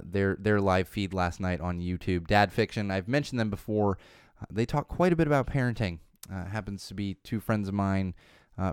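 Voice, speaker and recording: Chatty man, smooth dry voice. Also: lowish voice, no room sound. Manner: chatty, rambling with many restarts and fillers. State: slightly off voice from an ear infection. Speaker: podcast host. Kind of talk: casual podcast chat. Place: home studio.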